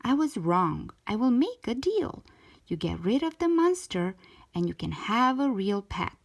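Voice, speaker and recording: A woman's voice: a little high, very animated, unhurried, exaggerated voice. A woman voicing a firm, exasperated but caring mother in a children's storybook. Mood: conceding. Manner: firm, emphatic.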